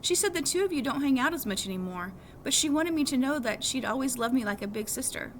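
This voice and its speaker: A woman's voice: high-pitched, animated, fast, voice pushed up. A woman voicing a stubborn, sulky young schoolboy in a novel read-aloud, curt, defiant and emphatic. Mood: sulky, indignant. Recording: clearly audible background noise.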